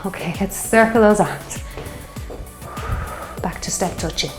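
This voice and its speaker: A woman in her fifties, a fitness instructor leading a guided strength workout, slightly hoarse from a sore throat and cheering on the class as she counts out reps. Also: mid-range voice, a little slow, roomy sound, over loud music. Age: 50s. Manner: encouraging, counting reps while exercising.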